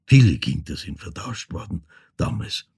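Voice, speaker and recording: An actor playing an older man who haltingly recalls a troubled childhood in an intimate radio-play monologue, with a husky, gravelly voice. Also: sits low, very animated, very noisy, heavily acted. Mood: reflective, haunted. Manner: halting, reflective, repeats words, drops to near whisper.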